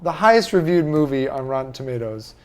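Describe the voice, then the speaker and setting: A man's voice: mid-range voice, animated, brisk, darkish tone, smooth. A man hosting a podcast in casual chat, talking in a conversational, opinionated way. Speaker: podcast host.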